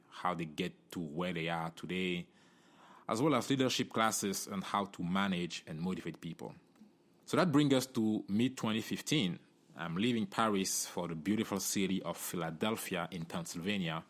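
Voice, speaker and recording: A middle-aged man from Cameroon, hosting a solo podcast in an earnest, conversational way, often tagging "you know" and "right?". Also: low voice. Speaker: podcast host.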